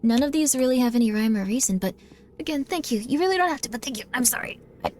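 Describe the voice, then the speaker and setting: A woman's voice: on the high side, animated, fast, background noise. A woman live-streaming a game, chatting in a bubbly way and often thanking her viewers. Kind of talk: casual live-stream chat while gaming.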